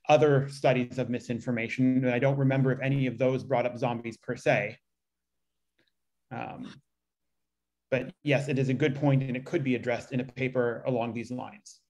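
A man's voice: mid-range voice, darkish tone. A man presenting a research paper and answering questions on a video call. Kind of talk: reading aloud and answering questions. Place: indoors on a group video call.

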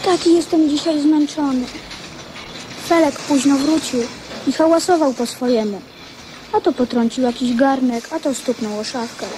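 A woman playing a gentle, earnest, frail young boy king in a radio drama. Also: high voice, normal speed, noisy background, close to an everyday voice. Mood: weary, plaintive.

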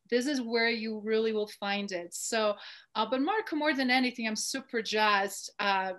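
Bright, high-voiced Croatian-accented woman hosting. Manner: effusive, enthusiastic. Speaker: show host. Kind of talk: conversational online interview. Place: indoor room, on a video call. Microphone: video call.

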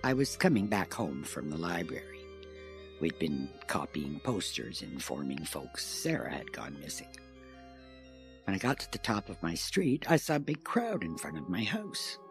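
An actor playing a shaken, grieving parent giving testimony in an audio drama. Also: male voice, deep voice, wildly swooping pitch, moderate pace, over music, full character voice. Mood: shaken, grave. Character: shaken, grieving parent recounting a family tragedy.

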